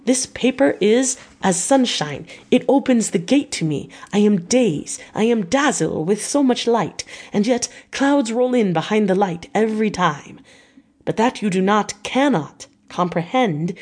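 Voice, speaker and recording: A woman's voice: fairly low pitch, expressive, normal speed, darkish tone. An old doctor from a gothic audiobook, acted out by a woman. He is grave yet effusive and kindly, stressing his words in formal, broken English. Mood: solemn, effusive.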